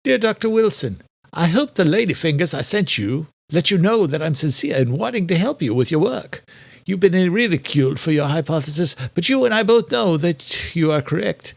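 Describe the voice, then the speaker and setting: A man's voice: on the high side, lively intonation, moderate pace, vocal fry, no echo, pitched above the performer's own voice. A man voicing a sinister, menacing killer reading a letter aloud in a horror audiobook. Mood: sinister glee. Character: Jack the Ripper, sinister killer, in a read-out letter.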